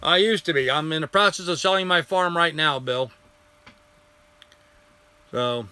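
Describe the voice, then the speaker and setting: An older man hosting a casual live stream, chatting and answering viewer comments, getting hoarse after a long session. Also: on the high side, measured pace, bright, forceful tone, no echo. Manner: rambling, friendly, greets viewers by name.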